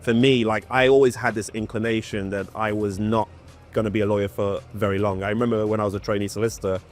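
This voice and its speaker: Man from nigeria, fast talker, lowish voice, animated, faint music behind. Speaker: former solicitor turned founder. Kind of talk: casual panel discussion.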